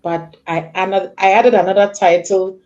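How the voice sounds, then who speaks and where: A woman's voice: mid-range voice, steady tone, normal speed, darkish tone, dull audio. A woman from Ghana, a nurse, introducing herself politely as a guest on a live stream.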